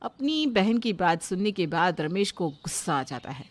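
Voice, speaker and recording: A woman's voice: fairly low pitch, big pitch swings, moderate pace. A woman reading scripted narration for a moral story, telling the events as a storyteller.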